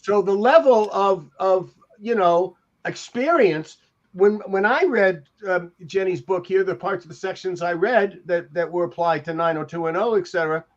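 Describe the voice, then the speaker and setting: An older man with a notably high voice reminisces casually on a panel. He talks in a halting, rambling way. The sound is dull and lo-fi, like a home video call. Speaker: podcast panelist, TV industry veteran.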